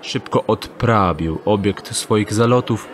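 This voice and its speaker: A man narrating an audio drama in an even storytelling tone. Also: fairly low pitch, slow, noisy background.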